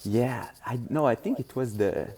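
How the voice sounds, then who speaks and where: A man's voice: medium pitch, moderate pace, mellow. A young man from Quebec with a Québécois French accent, giving easygoing, hesitant interview answers in English, his second language. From Quebec, Canada. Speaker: guest, gravel racer.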